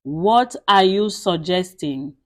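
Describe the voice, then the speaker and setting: A woman's voice: lowish voice, animated, slow, no echo, studio quality, voice pushed down. A woman voicing a weary male pastor in a story, curt, guarded and defensive.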